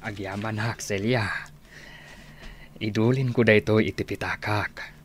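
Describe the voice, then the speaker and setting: Guy playing older ilocano man, low voice, sweet talking a lady, sentimental radio soap. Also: steady tone, unhurried, a little hiss or hum, lightly acted. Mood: tender, wooing. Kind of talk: Ilocano radio drama acting.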